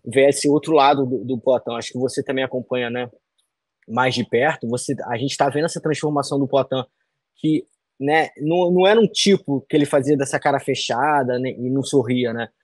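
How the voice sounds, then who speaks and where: Relaxed man on a video call. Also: medium pitch, fairly quick, dry recording. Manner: relaxed, loose, many fillers and tag questions. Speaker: fighter interviewee. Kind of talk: casual interview on a video call. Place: small room indoors.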